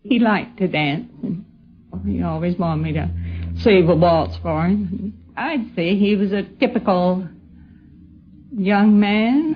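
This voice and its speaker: An older woman with an American accent, reminiscing in a measured, matter-of-fact way in a dull 1950s radio interview. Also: medium pitch. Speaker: interviewee recalling an old acquaintance. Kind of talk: unscripted reminiscing.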